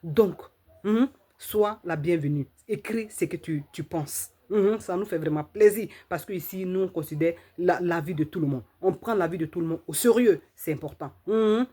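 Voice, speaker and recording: A woman hosting her channel, giving an emphatic, repetitive opinion monologue full of rhetorical questions and drawn-out "mhm"s. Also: on the low side, dark tone, husky. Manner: fills pauses with drawn-out "mhm". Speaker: channel host.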